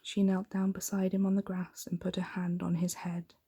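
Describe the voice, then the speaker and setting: Hushed, breathy woman reading a bedtime story. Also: on the low side, monotone, normal speed. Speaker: ASMR storyteller. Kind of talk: soft-spoken ASMR bedtime story reading.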